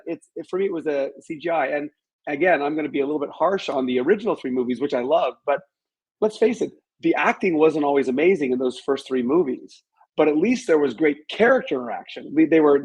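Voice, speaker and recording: Husky-voiced man, thoughtful interview guest. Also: a little high, expressive, a little mellow, poor audio. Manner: measured, hedging, halting while thinking aloud. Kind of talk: reflective interview conversation about films.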